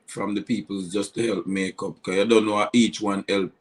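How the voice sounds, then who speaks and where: Low-voiced Jamaican man chatting. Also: steady tone, normal speed. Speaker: vlog host. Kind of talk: casual chat.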